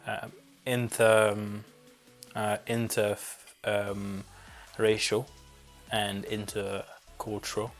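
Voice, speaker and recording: A young man from Rwanda, raised in Belgium, talks reflectively in an interview with light music behind him. His voice sits a little low and barely moves in pitch. He gropes for words as he shares his view. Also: completely flat delivery, slow. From born in Rwanda, grew up in Belgium. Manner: hesitant, searching for words.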